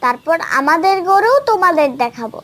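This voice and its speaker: A woman's voice: very high-pitched, lively intonation, moderate pace, a bit bright. A woman voicing a proud, eager little boy in a cartoon. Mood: excited, proud. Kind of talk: cartoon voice acting.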